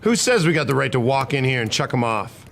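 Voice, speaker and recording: A man's voice: mid-range voice, very animated, brisk, creaky, fairly bright tone, a little hiss or hum. A man playing a principled, stubborn cavalry private in a historical drama, arguing in folksy frontier speech. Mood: indignant.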